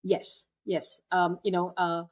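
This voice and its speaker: Interview guest: woman from Malaysia, lower voice, halting delivery full of ums and restarts. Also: monotone. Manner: hesitant, many fillers and restarts. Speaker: guest, waste technology entrepreneur. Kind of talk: conversational interview.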